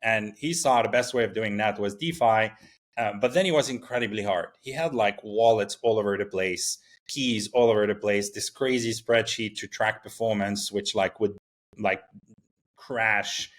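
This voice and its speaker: A founder from Morocco talking casually in an interview, full of fillers and restarts. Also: male voice, fairly low pitch. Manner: halting, many fillers and restarts. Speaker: startup founder, guest.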